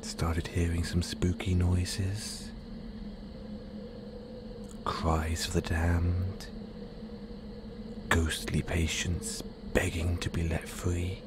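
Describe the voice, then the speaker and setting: A man playing a playful, menacing, possessive werewolf in an audio roleplay, teasing the listener in a breathy, half-whispered, predatory way. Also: low-pitched, flat delivery, very slow, long pauses, background noise. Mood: teasing, sinister.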